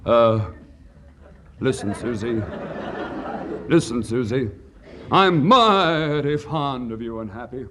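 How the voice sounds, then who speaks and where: An actor playing a dim, self-important cowboy movie star with folksy, repetitive talk in a 1940s radio comedy. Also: male voice, mid-range voice, animated. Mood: earnest, bashful. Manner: folksy, slow-witted, repetitive drawling talk. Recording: faintly noisy old radio recording.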